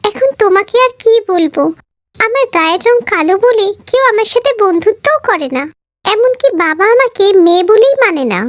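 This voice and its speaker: Kind young cartoon heroine, voiced by a woman: very high, meek, humble. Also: animated, normal speed, dry recording. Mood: hurt. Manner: meek, wounded. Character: kind, hurt, humble young sister, rejected for dark skin.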